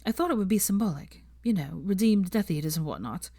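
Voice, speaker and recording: A woman's voice: mid-range voice, animated, fairly quick, warm tone. A woman reading the part of an earnest, prim young woman who speaks articulately. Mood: chatty.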